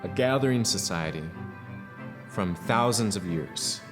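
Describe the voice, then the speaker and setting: A man in a documentary interview, recalling things in a reflective, unhurried way. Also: lowish voice, animated, big-room sound. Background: music.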